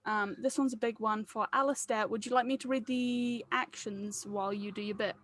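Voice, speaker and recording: A woman's voice: on the high side, steady tone, moderate pace, a bit bright. A woman leading a script read, chatting casually in a jokey, self-deprecating way. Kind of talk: casual chat among a cast.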